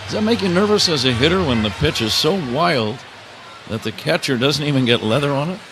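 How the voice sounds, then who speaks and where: A man's voice: fairly high pitch, fairly quick, music in the background, rough recording. A man calling baseball play-by-play live on the radio as the announcer. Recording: crowd roar and steady stadium noise. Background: ballpark crowd.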